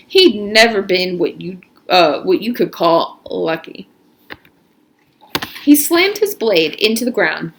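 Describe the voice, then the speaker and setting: A woman reads a storybook aloud as narrator. Her voice sits at a middle pitch and moves up and down with lots of animation. She tells it expressively, with clear emphasis. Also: fairly bright tone.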